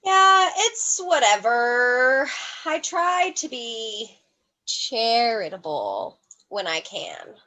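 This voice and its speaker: A woman's voice: a little high, sing-song, extremely slow, pushed and bright, full character voice, poor audio. A woman playing a spoiled, bored young heiress in a comedic play, dismissive and flippant, with a drawling delivery. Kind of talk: comedic acting in an online play.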